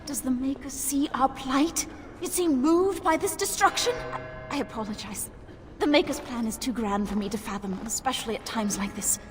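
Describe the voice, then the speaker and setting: A woman playing a devout, sorrowful chantry sister in a fantasy video game, speaking gently and earnestly. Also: high voice, normal speed, in a large hall, over music, close to an everyday voice. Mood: sorrowful, pleading, then apologetic.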